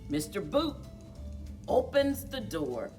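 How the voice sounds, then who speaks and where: A woman reading a children's story aloud in a deliberate, expressive way for young listeners, as a story time host. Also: medium pitch, distant mic, soft background music.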